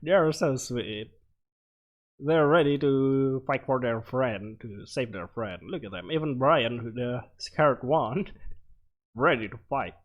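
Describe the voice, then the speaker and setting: A man doing casual game commentary, reading on-screen text aloud and reacting with short, halting interjections. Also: medium pitch, lo-fi sound. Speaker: let's player. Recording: music playing behind the voice. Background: game music.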